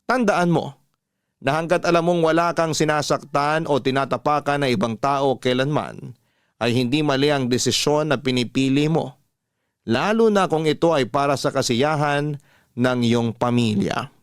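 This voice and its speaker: Smooth-voiced male radio storyteller. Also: medium pitch, dry recording, studio quality. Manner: calm, measured reading, slowing to a drawn-out sign-off. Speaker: radio host reading a listener's letter. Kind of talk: scripted radio storytelling narration.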